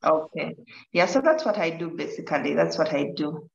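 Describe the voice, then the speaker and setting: A woman's voice: sits low, expressive, normal speed, vocal fry. A woman with a Ugandan accent, a life coach speaking casually as an interview guest over a video call from a room. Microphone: video call device. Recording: roomy, slightly dull video call.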